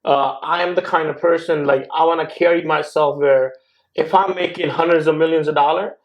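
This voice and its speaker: A man's voice: on the high side, steady tone, fairly quick, lo-fi sound. A man from India, a guest investor in a casual podcast chat, talking with many "uh"s and "like"s. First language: Gujarati or other Indian language. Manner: hesitant, many fillers like "uh" and "like".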